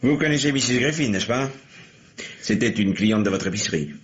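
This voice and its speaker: An actor playing a methodical, dogged male police lieutenant in a French radio mystery, questioning deliberately with emphatic turns. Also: lowish voice, lively intonation, close to an everyday voice. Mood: probing. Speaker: police investigator. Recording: dull, noisy vintage radio recording.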